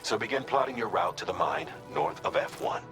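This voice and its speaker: A calm game mission coordinator with a low voice. He gives formal, precise briefings in a measured way. The sound is roomy and echoing, with music behind him. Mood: measured, businesslike.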